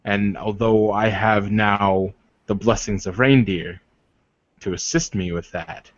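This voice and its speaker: A proud werewolf war leader, acted out by a man at a tabletop game, speaks low and very slowly. He is solemn, earnest and commanding, on a dull recording. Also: steady tone. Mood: solemn, resolute. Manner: solemn, deliberate, formal phrasing.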